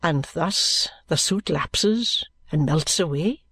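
Woman as an earnest, gentle gentleman, slow-spoken. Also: low-pitched, animated, breathy edge, dry recording, heavily acted. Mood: earnest, urgent.

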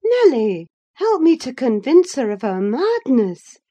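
A fierce young married woman of a classic novel, played by a woman narrator, speaks in a high, forceful voice. She declaims with scorn and command, swooping on her emphatic words. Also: very animated, unhurried, lo-fi sound, full character voice. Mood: scornful, emphatic.

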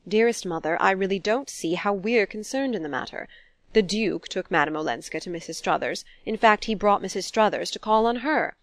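A woman reading a storybook as an ironic, impatient young gentleman, polite but argumentative. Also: mid-range voice, a little fast, dry recording, natural voice. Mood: exasperated.

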